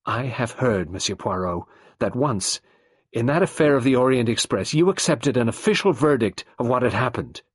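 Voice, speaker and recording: A man's voice: mid-range voice, big pitch swings, normal speed, husky, no echo, clean studio sound, voice pushed up. A man reading the part of a proud young woman who pleads passionately and intensely. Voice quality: passionate, imploring.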